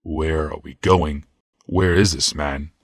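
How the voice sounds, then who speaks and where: Guy doing an arrogant menacing warrior for an audiobook, low voice, imperious and deliberate. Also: steady tone, dry recording, clean studio sound, heavily acted. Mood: curt questioning. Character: commanding, menacing, arrogant male warrior-healer.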